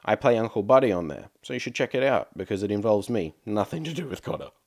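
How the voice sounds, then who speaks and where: A man's voice: lowish voice, lively intonation, quick pace, a little mellow, dry recording, clean studio sound. A man hosting a casual podcast outro with wry, joking asides. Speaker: podcast host and creator.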